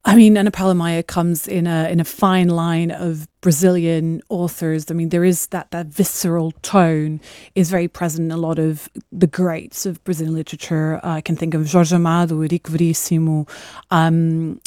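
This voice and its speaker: A woman whose first language is Portuguese, a studio guest giving thoughtful, animated commentary with frequent restarts. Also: low voice, moderate pace, dry recording. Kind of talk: live discussion.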